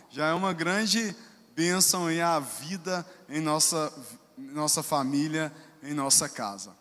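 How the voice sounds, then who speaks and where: A man's voice: sits high, bright, forceful tone. A Brazilian man preaching to a congregation as a lay missionary, exhorting his listeners. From Congonhas, Brazil. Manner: exhortative, addressing and leading the congregation. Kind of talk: sermon to a congregation. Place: church, amplified in a large hall. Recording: reverberant church sound with music behind.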